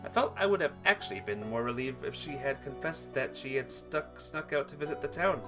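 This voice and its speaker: A man's voice: mid-range voice, expressive, brisk, music in the background. A man reading visual novel text aloud during a playthrough, sometimes stumbling and rereading phrases. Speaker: video game player reading aloud.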